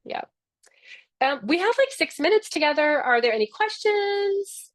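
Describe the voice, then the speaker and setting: A woman's voice: very high-pitched, animated, measured pace, no room sound. A middle-aged woman whose first language is Spanish, the founder hosting a live online orientation in an upbeat, affectionate, chatty way.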